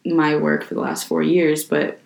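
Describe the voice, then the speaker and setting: A young woman with a deep voice for her age, a little hoarse from a sore throat. She talks in a loose, chatty way, full of "like" and restarts, as a podcast co-host. The room has a noticeable echo. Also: big pitch swings, normal speed, darkish tone. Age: young adult. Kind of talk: casual chat. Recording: echoey room.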